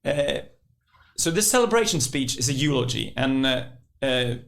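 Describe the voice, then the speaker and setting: Gravelly Norwegian man, hesitant podcast talk. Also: mid-range voice, lively intonation, normal speed, fairly bright tone. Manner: hesitant, with frequent fillers and "sort of". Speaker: podcast co-host. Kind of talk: conversational podcast discussion.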